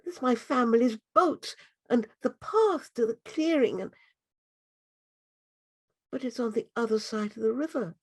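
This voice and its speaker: A storyteller voicing a lost, frightened girl in the jungle, speaking in a high, anxious, breathless way. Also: lively intonation, a little slow, long pauses, slightly rough, no room sound.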